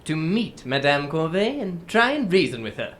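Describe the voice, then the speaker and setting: A woman's voice: low voice, big pitch swings, normal speed, slight background noise. A woman playing a reserved, businesslike male detective in a radio drama, formal and politely insistent. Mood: measured narrating.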